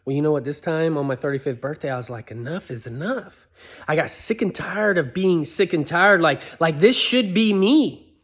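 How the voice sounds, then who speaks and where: A man's voice: a little high, expressive, normal speed. A man in his thirties giving a casual motivational talk, conversational, with many rhetorical questions and fillers. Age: 30s. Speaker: motivational speaker.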